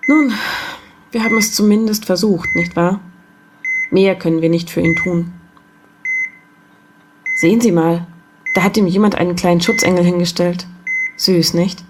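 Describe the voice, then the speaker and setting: A woman playing an earnest, caring hospital doctor in a German audio drama, measured and gently insistent. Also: fairly low pitch, steady tone, natural voice. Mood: resigned. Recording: beeping hospital machines, clear noise.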